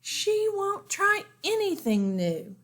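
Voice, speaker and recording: A woman voicing a practical, caring mother in a storybook, gently instructing her family. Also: very high-pitched, very animated, slow, voice pushed up, heavily acted.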